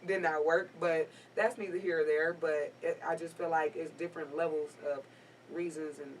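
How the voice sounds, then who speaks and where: A woman in her twenties speaking candidly in a casual panel chat, laid-back with drawn-out phrasing. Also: sits low, fairly even intonation, recorded from a distance, a little hiss or hum, low-quality recording. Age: 20s. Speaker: guest panelist.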